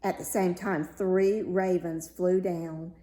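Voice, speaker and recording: Woman reading a storybook out loud, takes it really slow, soft-edged tone, echoey room. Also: on the low side, fairly even intonation. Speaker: story reader. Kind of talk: fairy tale read-aloud narration. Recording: roomy, echoing sound.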